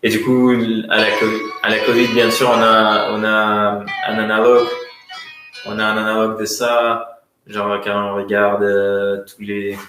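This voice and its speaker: A man from Russia with a Russian accent speaks French as a guest economist at a conference, often pausing to search for words. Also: lowish voice, completely flat delivery, pushed and bright, faint music behind. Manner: hesitant, searching for words, many fillers and restarts. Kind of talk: conference talk. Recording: very echoey room.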